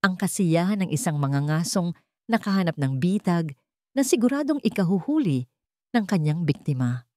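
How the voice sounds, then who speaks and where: Female Filipino storyteller: lower register, expressive swings, dramatic scripted delivery. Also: moderate pace, no echo, studio quality. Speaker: narrator.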